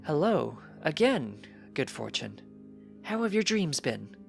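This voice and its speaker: Narrator doing a stately, high-voiced pony princess. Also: big pitch swings, creaky, soft background music, full character voice. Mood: probing. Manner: formal, probing, deliberate.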